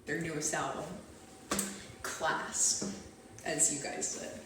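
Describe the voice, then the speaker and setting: A woman's voice: sits low, flat delivery, slow pace. A woman playing a chirpy, oblivious American housemate in a mock reality show sketch, gushing and rambling. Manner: gushing, peppered with "like". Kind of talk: comedy mockumentary sketch. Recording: very echoey, distant, faint noise.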